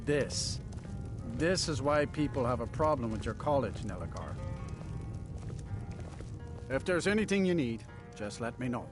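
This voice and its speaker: A man's voice: medium pitch, expressive, measured pace, pauses often, over music, close to an everyday voice. An actor playing a plain-spoken, skeptical, grumbling townsman in a fantasy game. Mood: reproachful.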